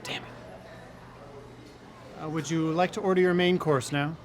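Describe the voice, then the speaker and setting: An actor in a radio drama playing a cheeky, teasing restaurant waiter. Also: male voice, on the high side, fairly even intonation, unhurried, natural voice.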